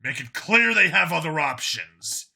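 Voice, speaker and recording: A man's voice: fairly high pitch, big pitch swings, measured pace, creaky, sharp tone, breathy edge, heavily acted. A man voicing a terse, weary human detective in a sci-fi story reading. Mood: determined. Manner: terse, grumbling. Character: Vincent, gruff weary human detective on dangerous missions.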